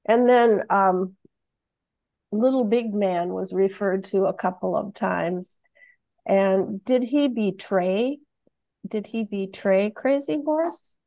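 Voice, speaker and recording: Slow, halting woman on dull audio. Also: medium pitch, no room sound. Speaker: book club participant. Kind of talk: casual group discussion.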